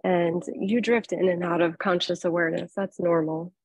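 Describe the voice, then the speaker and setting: A woman teaching yoga nidra, leading a guided relaxation in a calm, unhurried, soothing manner with long pauses. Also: lowish voice, breathy edge, no room sound. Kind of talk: guided relaxation meditation, instructing softly.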